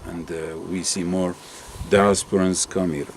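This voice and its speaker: A man's voice: deep voice, little pitch movement, measured pace, a little hiss or hum. A man speaking earnestly and hopefully in an interview. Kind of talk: reflective interview answers.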